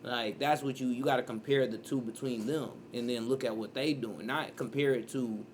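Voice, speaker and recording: Thirty-something guy, rambly reaction talk, gets loud and excited, light hiss behind. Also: mid-range voice, normal speed. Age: 30s. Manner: animated, rambling, breaks into loud outbursts. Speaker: streamer reacting to a clip. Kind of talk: casual reaction commentary.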